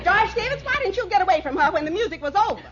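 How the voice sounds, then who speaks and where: A woman playing a scolding, suspicious wife in an old radio sitcom, indignant and sharp-tongued. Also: very high-pitched, lively intonation, racing, a crowd in the background. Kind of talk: 1940s radio sitcom acting. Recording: muffled, noisy old radio, studio audience.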